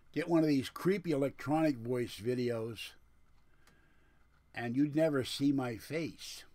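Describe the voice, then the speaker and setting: A man with a lisp talks in a mid-pitched voice, close and dry with no room sound. He rambles casually to livestream viewers, drifting between points in a grumbling way. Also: darkish tone, dry recording. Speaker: livestream host.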